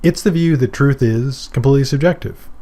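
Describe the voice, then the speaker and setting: A man's voice: medium pitch, fairly quick, mellow. A middle-aged man from Maine, a philosophy professor, giving a recorded course lecture in a conversational way, explaining with examples and asides. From Maine, USA.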